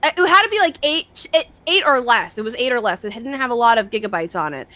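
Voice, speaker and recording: A young woman chatting casually, telling stories in a rambling, sweary, excitable way and acting out the dialogue. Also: a little high, fairly quick, dry recording. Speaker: online show host. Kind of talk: casual chat, animated storytelling.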